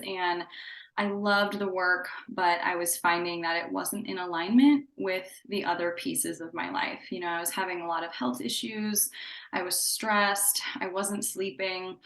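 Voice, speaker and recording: A woman's voice: on the low side, fairly bright tone, echoey room. A woman in her thirties, a psychotherapist who grew up in Indonesia, talking in a chatty, upbeat way with fillers in a casual interview. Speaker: psychotherapist guest.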